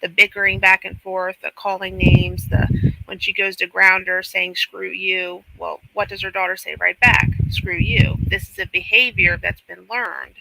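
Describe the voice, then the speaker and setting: A man's voice: high voice, little pitch movement, moderate pace, creaky, bright, forceful tone, slight background noise, rough recording. A man on a talk panel reading out comments and responding with his opinions.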